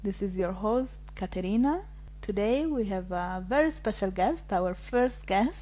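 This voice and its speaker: A woman's voice: medium pitch, lively intonation, measured pace, dark tone. Friendly woman hosting a podcast. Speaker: podcast host. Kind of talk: casual interview chat.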